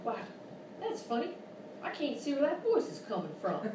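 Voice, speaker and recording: A woman's voice: high-pitched, wildly swooping pitch, moderate pace, darkish tone. A woman playing a folksy, sassy Old West saloon keeper with a Western American accent in live radio-style comedy. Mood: curious. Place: live performance in a hotel venue. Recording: noisy, roomy live stage, distant mic.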